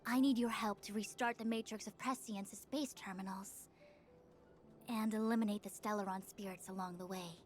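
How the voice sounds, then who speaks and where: A woman voicing a calm, formal female seer who speaks in a measured, dryly exasperated way, in a game cutscene. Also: medium pitch, soft background music, lightly acted. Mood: composed.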